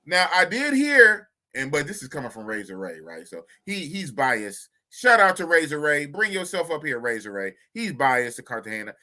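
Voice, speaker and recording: Bright, lively man hosting. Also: on the high side, moderate pace, hardly any pauses. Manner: animated, jokey, reads chat comments aloud. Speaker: podcast host. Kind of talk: casual live-stream chat.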